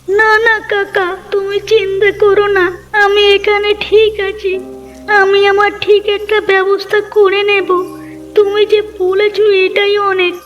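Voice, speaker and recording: A woman's voice: extremely high pitch, completely flat delivery, unhurried, fairly bright tone. A woman voicing a meek, hurt young granddaughter in a cartoon story, plaintive and earnest, in dull sound with music. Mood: sad, timid.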